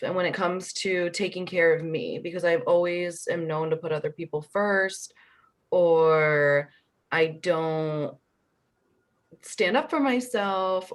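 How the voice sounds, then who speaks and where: A woman in her twenties from Connecticut, a youth advisory board member speaking haltingly and searching for words in a casual panel chat. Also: on the low side, a bit bright. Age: 20s.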